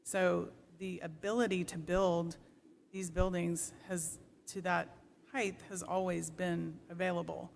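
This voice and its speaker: A planning staff member speaks at a public meeting in a measured, explanatory manner. The pitch is medium and stays quite flat. There is faint room noise around the voice. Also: gravelly. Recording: noisy meeting room ambience.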